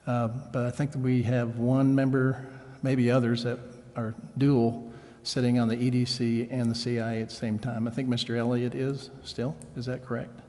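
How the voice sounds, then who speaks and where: A man speaking as a council member at a public meeting, raising a question in a halting, tentative way. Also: mid-range voice, flat delivery, darkish tone. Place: indoor council chamber. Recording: reverberant meeting room.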